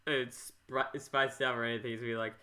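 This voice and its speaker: A man with a bright, forceful voice hosts a casual podcast. He speaks at a middle pitch, stumbling over phrase openings and starting them again as he chats. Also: flat delivery, a little fast. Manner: stammering, repeats phrase starts. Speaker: podcast host. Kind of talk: casual interview chat.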